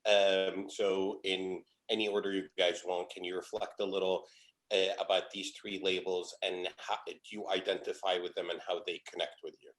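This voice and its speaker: A man's voice: deep voice, little pitch movement, normal speed, a bit bright, low-quality recording. A man moderating an online panel, asking open, inviting questions. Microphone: video call.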